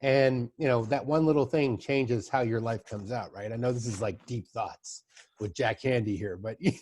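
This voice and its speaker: A man's voice: mid-range voice, normal speed, a little mellow, no echo. Chatty middle-aged man spinning stories. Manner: loose storytelling, chatty asides. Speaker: podcast host. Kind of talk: casual conversation.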